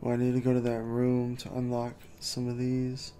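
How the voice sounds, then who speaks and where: A man's voice: mid-range voice, normal speed, soft background music. A man giving casual, chatty commentary while playing a video game. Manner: relaxed, chatty, reacting to the game. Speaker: gameplay streamer.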